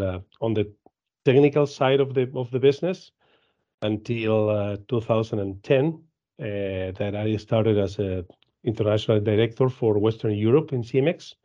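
A man in his fifties from Argentina with an Argentine Spanish accent, answering interview questions in halting English with many repeats and fillers. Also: fairly low pitch, dark tone, lo-fi sound. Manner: halting, frequent repeats and "uh", laughs easily. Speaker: guest, dairy genetics business director.

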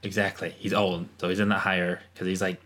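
Iowan man in his 30s, podcast co-host, very low forceful voice, dry jokes. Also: quick pace. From Iowa, United States. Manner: dry, deadpan joking. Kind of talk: casual chat.

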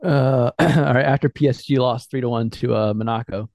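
A man co-hosting a casual podcast, speaking informally and a little haltingly as he chats and reads posts. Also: mid-range voice, steady tone, creaky, a little mellow, dry recording. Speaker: podcast co-host. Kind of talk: casual chat, reading out fan posts.

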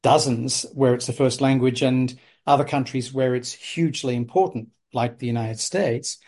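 A man's voice: medium pitch, normal speed, darkish tone. A man hosting an academic interview, speaking in an articulate, discursive way. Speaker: interviewer host. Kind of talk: conversational interview.

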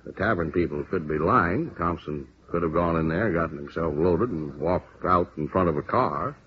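An actor as a very deep-voiced American police lieutenant. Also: male voice, lightly acted. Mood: weighing, frank. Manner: deliberate, emphatic, matter-of-fact. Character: seasoned, businesslike male police lieutenant, firm and frank. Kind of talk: 1950s radio drama acting. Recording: muffled, hissy old radio recording.

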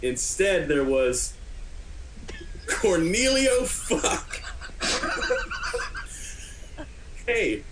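Roomy-sounding man reading with lively pitch. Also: extremely slow, long pauses, heavy background noise. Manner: prone to breaking up laughing. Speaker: podcast host doing a dramatic reading. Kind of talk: comic dramatic reading of fan fiction.

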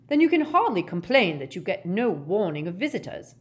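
A woman's voice: fairly high pitch, big pitch swings, normal speed, darkish tone, voice pushed up. A woman voicing a formal, easily flustered and indignant male government official in a storybook reading. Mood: cold, tart. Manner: formal, polite, tart.

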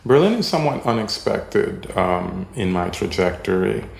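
A man from Haiti whose first language is French, answering interview questions in a halting way, with many pauses and ums as he searches for words. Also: sits low, animated, gravelly. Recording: echoey room.

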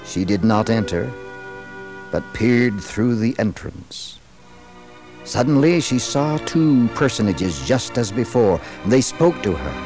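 An American man narrating a dramatized religious story in a solemn, theatrical, measured old-radio style. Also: medium pitch, darkish tone. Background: music.

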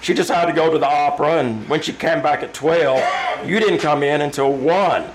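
A man's voice: fairly high pitch, normal speed, noisy background, natural voice. A man playing a concerned, insistent office colleague in an old-time radio drama, emphatic and urgent.

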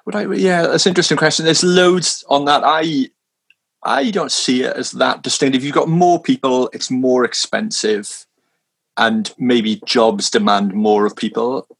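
Welsh-raised male minister, mid-pitched, halting chat full of restarts. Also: steady tone, gravelly, fairly bright tone. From Wales. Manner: hesitant, many restarts, self-deprecating laughs. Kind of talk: casual conversation. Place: London.

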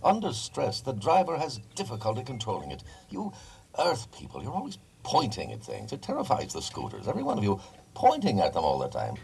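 Fussy, creaky-voiced alien man. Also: mid-range voice, huge pitch swings, a little fast, a little mellow. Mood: fussy, chiding. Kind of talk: comic science fiction radio acting. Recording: noisy old radio drama recording.

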